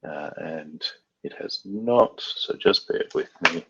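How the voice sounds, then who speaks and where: A man's voice: a bass voice, takes it slowly, gravelly, muffled recording, background noise. A man from Australia casually walking through a device setup tutorial, thinking aloud with frequent fillers. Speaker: IT trainer. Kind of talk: screen-share tech tutorial.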